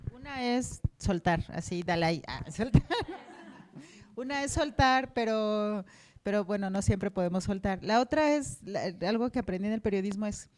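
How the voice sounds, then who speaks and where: Mexican woman, journalist on a panel, medium pitch, super colloquial and chatty answer with asides. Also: animated, normal speed, a little mellow. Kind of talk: informal, conversational panel answer.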